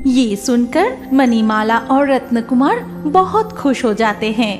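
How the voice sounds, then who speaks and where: A woman's voice: on the high side, expressive, unhurried. A woman reading scripted narration for a fairy-tale cartoon. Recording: faint music behind the voice.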